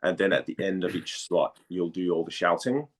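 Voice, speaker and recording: A man from Denmark chatting casually as an interview guest, speaking haltingly and repeating words as he thinks. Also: low voice.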